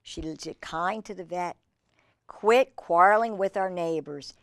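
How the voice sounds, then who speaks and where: A woman hosting a children's storytime, reading aloud with drawn-out emphasis and chatting in asides to young listeners. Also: lowish voice, clean tone, no echo, studio quality. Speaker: host reading picture books to children.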